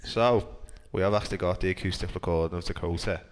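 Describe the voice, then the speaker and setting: A man's voice: sits low, fairly even intonation, fast talker. A young man hosting a casual chat with his bandmates, asking questions and teasing them. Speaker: host, band guitarist.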